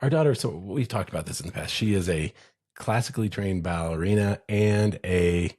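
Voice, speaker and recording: A middle-aged man co-hosting a podcast in casual chat, hoarse from a chest infection, relaxed and rambling. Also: deep voice, normal speed. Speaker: podcast co-host.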